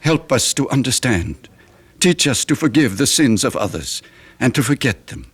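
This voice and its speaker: An actor playing a condescending, sanctimonious middle-aged gentleman in an old-time radio drama, patronizing, deliberate and pious in manner. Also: medium pitch, sing-song, husky. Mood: pious, persuasive. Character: smooth-talking, condescending, sanctimonious, evasive gentleman.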